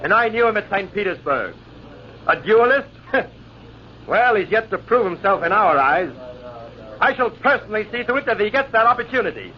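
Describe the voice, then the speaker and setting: An actor playing a bitter, haughty, jealous army lieutenant with scornful formal speech in an old-time radio drama. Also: male voice, high-pitched, big pitch swings, normal speed, a little hiss or hum. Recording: dull vintage radio recording.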